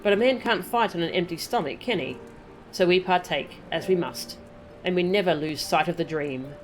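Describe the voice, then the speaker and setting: A woman's voice: on the low side, normal speed, a little hiss or hum, natural voice. A woman voicing a weary, grateful male resistance soldier from a game in a plain-spoken, earnest way. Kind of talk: reading game dialogue in character.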